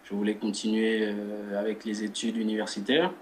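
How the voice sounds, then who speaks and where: A man, a guest who speaks in a measured way and leads a spoken prayer. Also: on the low side, completely flat delivery, slight background noise. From Democratic Republic of the Congo. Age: 20s. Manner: measured, repeats words while searching for phrasing. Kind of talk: religious interview and spoken prayer.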